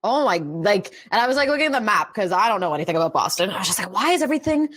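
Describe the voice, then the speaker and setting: A woman's voice: medium pitch, very animated, very fast, a bit bright. A woman co-hosting a podcast, chatting casually with sarcastic teasing and swearing. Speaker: podcast co-host.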